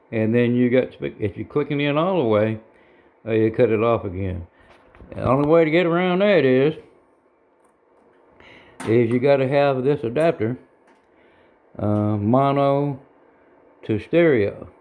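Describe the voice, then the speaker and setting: Male hobbyist tutorial voice: creaky and gravelly, folksy, unpolished, stop-and-start delivery. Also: mid-range voice, narrow, tinny audio. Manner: halting, folksy, plain-spoken. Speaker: hobbyist giving a how-to demonstration. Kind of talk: casual unscripted tutorial. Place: indoor home radio room.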